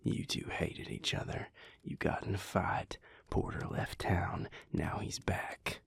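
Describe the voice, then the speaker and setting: A man voicing a bitter male vampire in an audio roleplay, talking bluntly and irritably with plenty of swearing, in a gravelly, breathy voice. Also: deep voice, very animated, slow, dry recording, in a whisper, heavily acted. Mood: curt, fed up. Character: bitter young-turned male vampire, the listener's partner.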